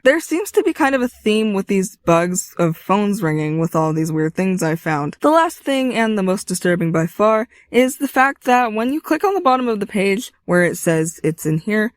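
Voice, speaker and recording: Video essay narrator, scripted delivery, dry close sound, medium pitch. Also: dry recording.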